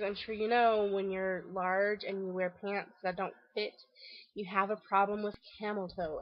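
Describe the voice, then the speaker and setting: A woman's voice: mid-range voice, no echo, highs cut off. A woman with a congested, stuffy nose gives a chatty, rambling video diary update, full of ums and restarts. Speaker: vlogger. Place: covered back deck outdoors.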